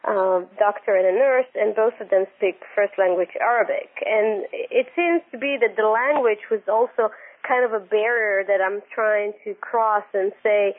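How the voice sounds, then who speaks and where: A woman whose first language is Hebrew talks over a narrow, tinny telephone line at an everyday pitch. She speaks thoughtfully and haltingly, restarting phrases as she searches for words. It has the feel of a filmmaker interviewed by phone. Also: fairly even intonation. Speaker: film director and journalist.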